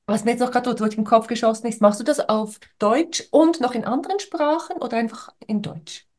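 A woman's voice: a little high, a little fast, a little mellow. A woman hosting an informal interview, thinking aloud in short phrases as she puts her questions. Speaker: interview host. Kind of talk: casual conversational interview.

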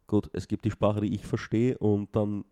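A man's voice: fairly low pitch, little pitch movement, moderate pace, dark tone. A man co-hosting a casual podcast chat, hesitant, repeating words and saying "ja" a lot. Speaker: podcast co-host.

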